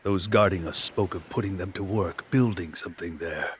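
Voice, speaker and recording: A man voicing a calm, wise servant of the gods in a video game, measured and slightly husky. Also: sits low, slight background noise, natural voice. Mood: calm, earnest. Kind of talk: dramatic game cutscene acting.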